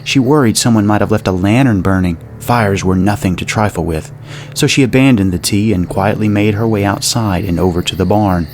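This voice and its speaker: A man's voice: on the low side, brisk. A man reading scripted narration for a horror story. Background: music under the narration.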